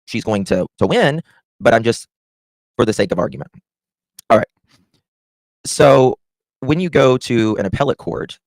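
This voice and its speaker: A man hosts a casual livestream in a slightly low voice. He talks in a chatty, halting way and often repeats a phrase to stress it. The sound is very dry, with little trace of the room. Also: no room sound. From Southern United States. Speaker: livestream host.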